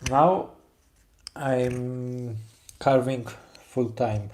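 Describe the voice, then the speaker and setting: A man's voice: mid-range voice, fairly even intonation, very slow, background noise. A man from Poland with a Polish accent, a woodcarver who chats while he works and keeps stopping to search for English words. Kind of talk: casual chat while carving.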